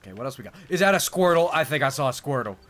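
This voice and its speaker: A man's voice: a little high, expressive, racing, a bit bright. A young man giving casual gameplay commentary as a streamer, with jokey, sweary banter. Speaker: video game streamer. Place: indoor streaming setup. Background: game music.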